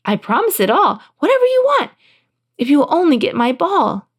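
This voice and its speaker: A woman's voice: sits high, sing-song, brisk, pitched above the performer's own voice. A woman voicing a young fairy-tale princess who is emotional, fretful and easily flustered. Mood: eager promising.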